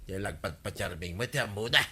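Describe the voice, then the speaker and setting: A man's voice: low voice, expressive, a little fast, bright, forceful tone, slightly rough, exaggerated voice. A man playing a scornful, grumbling villager who talks mockingly in an Ilocano comedy radio drama.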